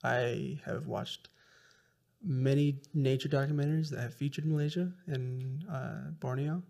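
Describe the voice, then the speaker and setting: A young man co-hosts a casual podcast chat, his mid-pitched voice carrying a gravelly, fry-heavy edge. He speaks in dry, understated lines that lean toward deadpan jokes. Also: a little slow. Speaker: podcast co-host.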